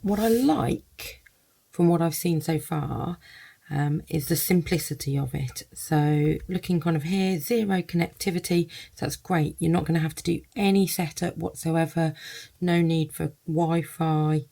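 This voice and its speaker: A woman's voice: low-pitched, unhurried, vocal fry, dark tone. A woman giving a casual first-look product review, thinking aloud in loose, hesitant phrases.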